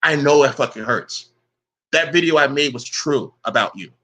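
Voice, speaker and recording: Bright-voiced man on a profane rant. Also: medium pitch, animated, moderate pace. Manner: confrontational, profane, repeatedly says "bro"; says he keeps the same voice with everyone. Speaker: online commentator. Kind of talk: angry vlog rant.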